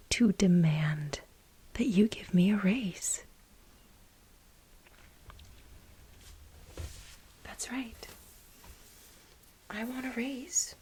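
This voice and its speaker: Woman acting a whispering, aggrieved, clumsy assistant. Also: mid-range voice. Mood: indignant, demanding. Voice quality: breathy whisper. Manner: halting, dramatic, repeating herself for emphasis. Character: flustered clumsy female assistant, aggrieved and demanding. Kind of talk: soft-spoken ASMR role play.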